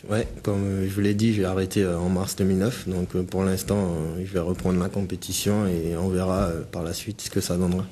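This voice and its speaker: A man in his late twenties from French Guiana, a basketball player answering interview questions haltingly, with many pauses and fillers. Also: low-pitched, little pitch movement, dark tone, a little hiss or hum. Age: 20s.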